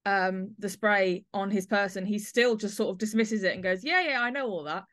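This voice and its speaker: A woman's voice: mid-range voice, normal speed, fairly bright tone, dry recording, clean studio sound. A woman hosting a podcast, chatting and musing conversationally in an interview about drama. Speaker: podcast host. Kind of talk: interview chat about an audio play.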